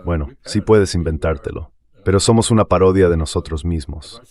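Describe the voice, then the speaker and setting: A man's voice: sits low, normal speed, a little mellow. A man giving conversational political commentary as a translated voice-over.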